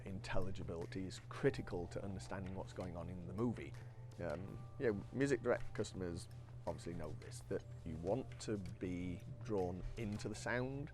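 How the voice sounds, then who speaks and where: Male engineer, lower register, explains product design informally, asking and answering his own questions, over faint music. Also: a little mellow. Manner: explanatory, poses rhetorical questions then answers them.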